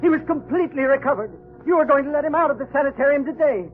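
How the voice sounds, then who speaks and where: A woman's voice: high-pitched, racing, phone-quality sound, natural voice. An actress playing a distraught wife and mother in a 1930s radio drama, on an old recording. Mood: anxious demanding.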